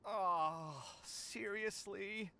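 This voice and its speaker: A man's voice: sits high, extremely slow, creaky, sharp tone, heavily acted. An actor playing a proud, dramatic young man, theatrical and plaintive, in an anime game. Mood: dismayed, lamenting.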